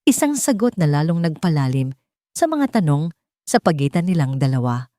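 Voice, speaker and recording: A woman's voice: very animated, moderate pace, mellow, no room sound, clean studio sound. A woman narrating a romance story in a scripted storytelling style, giving the characters' quoted lines herself. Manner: plays every character herself, shifting pitch for quoted lines.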